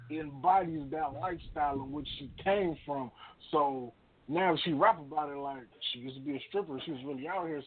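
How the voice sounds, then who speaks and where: Phone-like audio, higher-voiced American man debating casually. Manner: slangy, repeats phrases to make a point. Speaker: radio show co-host. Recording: thin phone-like band-limited audio.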